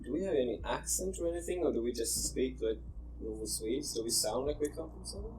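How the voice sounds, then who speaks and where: A man's voice: mid-range voice, lively intonation, quick pace, darkish tone, a little hiss or hum, low-quality recording. A Swedish-accented man at a tabletop game, asking short questions. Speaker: player. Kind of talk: in-character tabletop roleplay.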